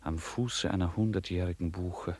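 An actor as the composed narrator of a classic novella in a 1950s radio drama, formal and measured in delivery. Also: male voice, deep voice, fairly even intonation, darkish tone, breathy edge.

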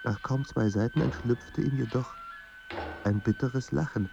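A man performing as a somber narrator of a troubled writer's life in a radio drama, with measured, grave delivery. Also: medium pitch, vocal fry, muffled recording. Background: music.

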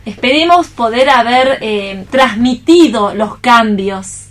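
A woman's voice: on the high side, lively intonation, slow pace, slight background noise. A woman in a casual round-table radio discussion, explaining her view in short, rephrased bursts. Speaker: radio theatre reader in a discussion.

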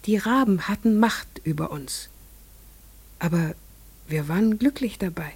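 An actress playing an entranced farmer's wife and mother, telling her strange memories in a measured, lyrical radio play monologue. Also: mid-range voice, lively intonation, darkish tone, breathy edge. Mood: fervent, entranced.

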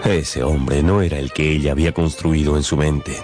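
A man narrating a literary radio drama as a storyteller, with dramatic pauses and emphasis. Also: extremely low pitch, steady tone. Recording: music behind the voice.

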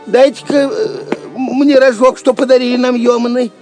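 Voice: sits high, steady tone, normal speed, over music. An actor playing a cheeky, loyal male court jester in an audio drama. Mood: playful, wheedling. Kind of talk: theatrical audio drama acting.

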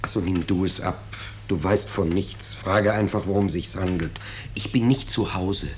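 An actor playing a pompous, blustering but cowardly middle-aged husband and house owner in 1950s radio drama style. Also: male voice, low voice, fairly even intonation, normal speed, lightly acted. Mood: nervous. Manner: indignant, self-important, emphatic. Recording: noisy vintage 1950s radio recording.

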